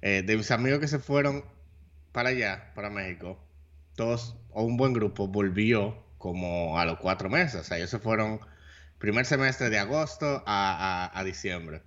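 A man's voice: on the low side, moderate pace, a bit bright, dull audio. A man with a Dominican accent co-hosting a podcast, chatting loosely and dropping English words into his speech. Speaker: podcast co-host. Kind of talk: casual chat.

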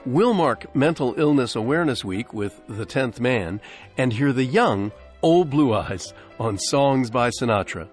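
A man's voice: mid-range voice, animated, unhurried, faint music behind. An American man hosting a radio show, reading a scripted introduction to vintage programs. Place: dry broadcast studio.

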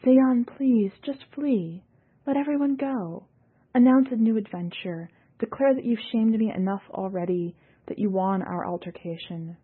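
A woman's voice: on the high side, very slow, hardly any pauses, husky, dry recording, pitched above the performer's own voice, full character voice. A woman voicing a proud, anxious woman pilot who pleads and argues in a raised voice. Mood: urgent. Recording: phone-like thin sound.

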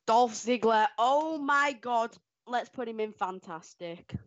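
A woman co-hosting a casual podcast, excitable and quick to laugh. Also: on the high side, no room sound, muffled recording. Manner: excitable, laughs often, animated outbursts. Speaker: podcast co-host. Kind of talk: casual chat ranking wrestlers.

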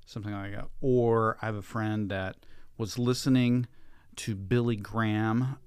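Guy, pastor doing a casual podcast chat, clear smooth voice, really dry sound. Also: fairly low pitch, fairly even intonation, unhurried, no echo. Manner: informal, conversational. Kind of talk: conversational podcast interview.

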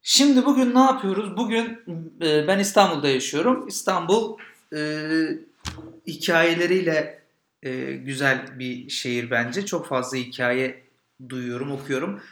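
A man's voice: a little high, unhurried, a bit bright. A man, hosting and telling stories in an informal, narrated style. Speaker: podcast host and storyteller. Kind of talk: casual intro and narrated legend storytelling. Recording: roomy echo with guitar music.

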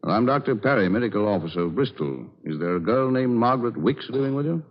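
An actor playing a calm, authoritative medical officer with a measured, reassuring manner in a 1940s radio drama. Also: male voice, mid-range voice, natural voice. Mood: urgent, official. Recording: dull old radio broadcast.

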